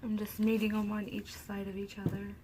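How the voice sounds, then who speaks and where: A woman hosting a soap-making video, talking softly and casually because her throat is sore and she is losing her voice. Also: medium pitch, completely flat delivery, a little fast, noisy background. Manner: soft-spoken, talks quietly. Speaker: soap-making channel host. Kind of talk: casual craft tutorial narration.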